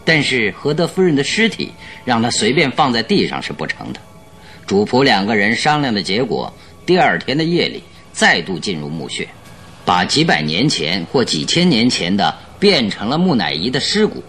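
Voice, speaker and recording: Animated, masterful detective in radio drama. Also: male voice, medium pitch. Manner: masterful, courteous, expository. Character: confident male detective expounding his deductions. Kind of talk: Chinese radio drama acting. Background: background music.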